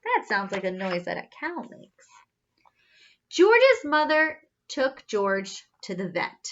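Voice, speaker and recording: Lady doing kids storytime, real animated n bright, slow pace, theatrical questions, bit lo-fi. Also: medium pitch, slight background noise. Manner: theatrical, questioning, gasping with wonder. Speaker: story reader, children's teacher-like host. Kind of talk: animated children's storybook read-aloud.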